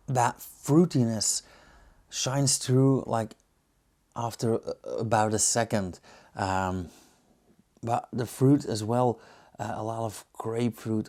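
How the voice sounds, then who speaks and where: A man with a Belgian accent giving casual, unscripted tasting commentary as a reviewer, pausing to muse and search for words. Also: fairly low pitch, a little mellow. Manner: hesitant, musing, searching for words. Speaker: beer reviewer and host.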